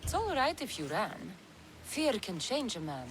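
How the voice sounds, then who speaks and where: An actress playing a commanding, dry-witted mercenary heroine in a video game. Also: female voice, mid-range voice, wildly swooping pitch, a little slow, slight background noise. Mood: wry. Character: commanding female mercenary hero.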